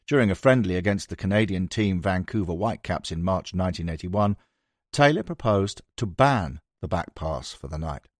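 A man with a deep voice narrates a sports history audiobook. His intonation moves around a lot, keeping the scripted reading animated. The sound is dry, with very little room in it. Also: normal speed, no echo.

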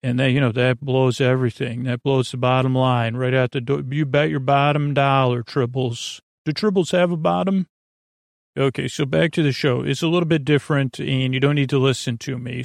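Creaky-voiced man rambling on a sleep podcast. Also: medium pitch, dry recording, clean studio sound. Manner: halting, tangential, repeats and trails off mid-thought. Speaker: podcast host.

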